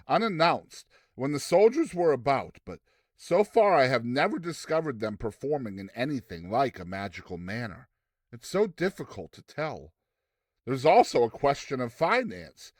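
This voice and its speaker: A man reading a classic story aloud as a theatrical, expressive narrator with deliberate delivery. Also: mid-range voice, no echo, studio quality. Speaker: narrator, reader.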